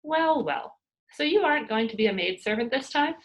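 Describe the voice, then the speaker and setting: A woman's voice: high voice, expressive, moderate pace. A woman voicing a bold, exuberant, mischievous young girl from a children's storybook, playful and cheeky. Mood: teasing, argumentative.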